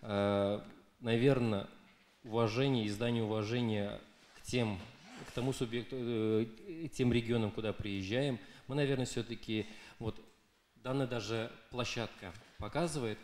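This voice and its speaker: A man's voice: mid-range voice, steady tone, moderate pace, a little mellow. A man responding to a conference talk with rambling, hesitant remarks full of fillers. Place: conference hall. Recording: echoey room.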